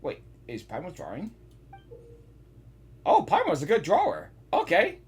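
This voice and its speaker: Woman casually reacting to a game, slow-paced. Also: lowish voice, huge pitch swings, a little mellow, breathy edge. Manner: giggly, reactive, repeats phrases. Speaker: reaction streamer.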